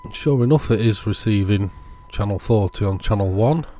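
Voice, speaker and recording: A man's voice: on the low side, moderate pace, mellow, faint music behind. A man giving hands-on repair commentary for a video, thinking aloud in an unscripted way as he works. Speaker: electronics repair video creator.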